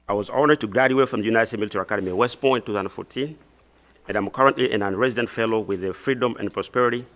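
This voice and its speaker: A man's voice: fairly low pitch, fairly bright tone. Man giving formal prepared testimony. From Democratic Republic of the Congo. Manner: measured, reads prepared text with some restarts. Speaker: witness testifying at a hearing.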